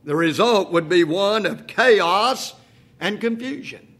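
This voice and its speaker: A man in his sixties preaching a sermon, asking rhetorical questions and slowing to a quiet, deliberate pace for emphasis. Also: sits high, lively intonation. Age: 60s. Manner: repeats "if you please" and "think about this".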